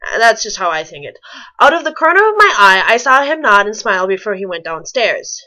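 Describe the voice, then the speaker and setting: A woman's voice: mid-range voice, sing-song, brisk, bright, forceful tone, low-quality recording. A woman reading a story aloud and commenting on it with wry, nitpicking asides. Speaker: fan fiction reader and commentator.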